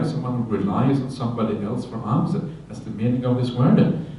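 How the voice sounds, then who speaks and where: A man, a Buddhist monk giving a relaxed dharma talk on a sutta, often checking in with his listeners and adding light humorous asides. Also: fairly low pitch. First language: Norwegian. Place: retreat hall. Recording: very echoey hall, voice from a distance, faint ambience.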